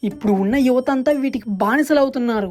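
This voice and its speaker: A woman voicing an earnest, pleading younger brother from a poor fishing family in an animated moral story. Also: high-pitched, fast talker, natural voice. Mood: worried pleading.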